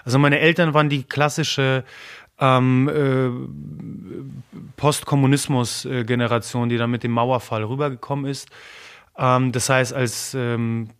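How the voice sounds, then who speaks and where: A man whose first language is Polish, talking in a personal interview in an earnest, emotional way, pausing often with fillers and repeating words as he searches for them. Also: mid-range voice, flat delivery, fairly bright tone, clean tone. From Germany. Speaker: interview guest.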